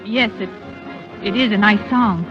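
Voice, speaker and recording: A woman's voice: mid-range voice, a little slow, music in the background, lightly acted. An actress playing a determined young woman in a 1940s-style radio drama. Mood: hesitant. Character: determined young woman posing as innocent dance-hall patron. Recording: muffled vintage radio recording.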